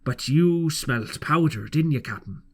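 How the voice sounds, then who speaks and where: A man voicing a sly, folksy pirate cook who talks fondly in slow, rustic sailor speech for a storybook. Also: fairly high pitch, lively intonation. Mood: fond, storytelling. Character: sly, folksy pirate sea-cook fond of his parrot.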